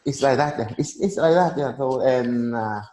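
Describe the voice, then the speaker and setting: A man in his thirties, a Vietnamese native speaker, chatting casually in halting English and laughing often. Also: mid-range voice, warm tone. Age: 30s. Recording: echoey room, faint noise.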